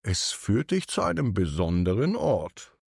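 A man voicing a soft-spoken, cheerful talking snow crystal in a bedtime story. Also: on the low side, very animated, a little slow, vocal fry, husky, dry recording, studio quality, exaggerated voice. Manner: soft, friendly.

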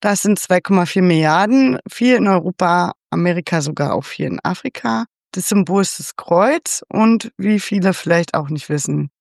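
A woman talking through a topic in a relaxed, chatty way, her voice carrying a gravelly, creaky texture. The sound is close and dry, with no room around it. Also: on the low side, no echo. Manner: chatty, a bit unsure, asks for confirmation. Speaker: host. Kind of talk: casual explanatory overview.

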